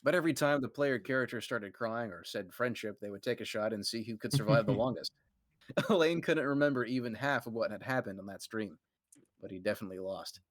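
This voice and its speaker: Brief: man, mid-pitched, reading fan fiction to friends on stream, casual and conversational, dry close mic. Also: no room sound. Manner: quick, conversational reading, laughing asides. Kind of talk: read-aloud on a live stream with friends.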